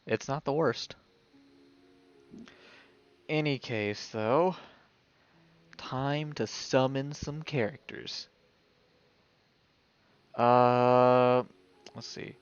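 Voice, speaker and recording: A man's voice: medium pitch, drawn-out words, long pauses, clear, resonant tone, lo-fi sound. A man streaming a video game, giving casual live commentary and thinking aloud as if talking to himself. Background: video game music.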